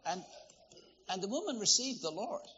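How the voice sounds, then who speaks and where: A man preaches in a bright, forward voice, telling stories in a lively, conversational way. The recording is somewhat dull and lo-fi, with a faint hiss of noise underneath. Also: high-pitched, moderate pace. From Northern Ireland. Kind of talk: sermon.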